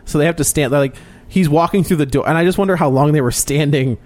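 A man's voice: fairly high pitch, quick pace, darkish tone. A man co-hosting a casual movie review podcast, rambling through plot recaps and laughing often. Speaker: podcast co-host.

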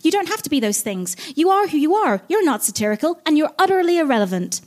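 A woman voicing a calm, kindly male frog puppet who speaks with gentle bluntness in a comic stage story. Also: high voice, quick pace, voice pushed up.

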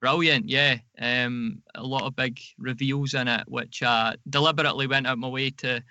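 A man's voice: mid-range voice, fairly even intonation, normal speed, bright, forceful tone, no room sound, muffled recording. A man speaking casually as a podcast guest, in a wry, self-deprecating way. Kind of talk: casual chat.

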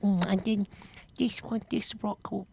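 A woman's voice: mid-range voice, flat delivery, unhurried, a little mellow, slight background noise, close to an everyday voice. A woman voicing a brash little street boy who taunts and mocks in slang. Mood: playful.

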